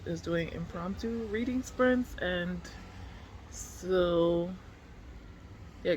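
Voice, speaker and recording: A book vlogger of unclear sex chats casually in an informal, slangy way, musing aloud. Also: mid-range voice. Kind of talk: casual reading vlog chat. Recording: clearly noisy room ambience.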